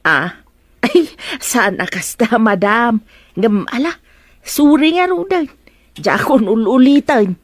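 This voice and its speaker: A woman playing an excitable, gossiping household helper in a melodrama, chatty, exclamatory and insinuating. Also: a little high, takes it slowly, dry recording, studio quality, exaggerated voice. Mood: flustered. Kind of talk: Ilocano melodrama acting.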